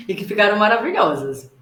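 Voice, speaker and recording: A woman's voice: medium pitch, a little hiss or hum. A woman hosting an interview, friendly and affirming as she wraps up. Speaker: host. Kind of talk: casual interview. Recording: roomy echoey room.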